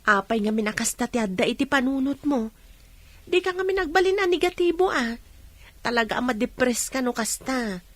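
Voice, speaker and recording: A woman's voice: sits high, animated, fairly quick, no room sound. An actress playing a caring young woman, a loyal best friend with an Ilocano accent, coaxing and chiding expressively in a radio drama. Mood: concerned, coaxing.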